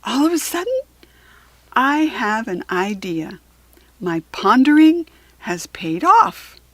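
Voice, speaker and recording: A woman with an American accent reading a children's story aloud, expressive and clearly phrased for young learners. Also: on the high side, slow pace, no room sound. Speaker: children's book reader.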